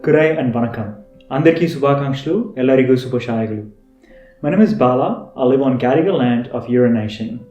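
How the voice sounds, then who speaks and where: Tamil-born man with a dark, mellow voice. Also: mid-range voice, fairly quick, echoey room, lo-fi sound, soft background music. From India. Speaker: podcast host. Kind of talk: scripted self-introduction.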